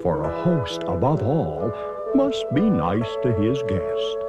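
Male narrator as a gentle, patient storybook moose; unhurried and expressive. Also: mid-range voice, dull audio, loud music behind the voice, pitched below the performer's own voice, exaggerated voice. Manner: slow, gentle and easygoing.

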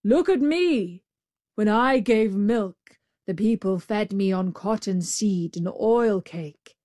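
A woman's voice: mid-range voice, animated, slow pace, dark tone, dry recording, studio quality. A woman voicing a worn-out, resentful buffalo in a storybook, complaining bitterly. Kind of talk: storybook character voice.

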